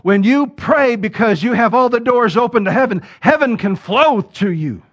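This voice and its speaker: A man preaching a sermon, building from steady talk to loud, emphatic peaks. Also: very high-pitched, normal speed. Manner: rises to loud emphatic preaching peaks, acts out quoted voices. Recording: dull lo-fi sound.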